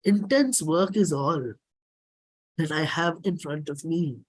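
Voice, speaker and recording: A performer with an Indian accent and a husky voice, giving a dramatic, deliberate reading of a personal play script. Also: medium pitch, poor audio. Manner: measured, deliberate, theatrical phrasing.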